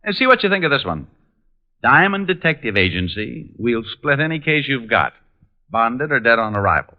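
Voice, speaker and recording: An actor playing a sardonic private detective in a vintage radio drama, with an American accent and glib, dry wit. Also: male voice, mid-range voice, very animated, normal speed, natural voice. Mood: playful, glib. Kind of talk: 1950s radio drama acting and noir narration. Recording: muffled vintage radio recording, music.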